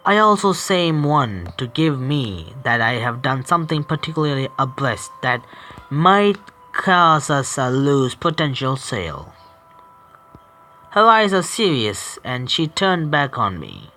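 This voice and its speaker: A man streaming a visual novel game, reading the narration aloud haltingly, stumbling over words and correcting himself. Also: medium pitch, very animated, pushed and bright.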